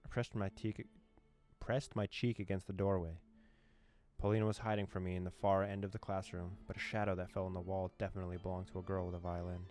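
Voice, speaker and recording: Low-voiced man doing casual game commentary. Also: little pitch movement, fast talker, a little mellow. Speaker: gaming channel host. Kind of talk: let's play commentary, reading game text aloud. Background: game music.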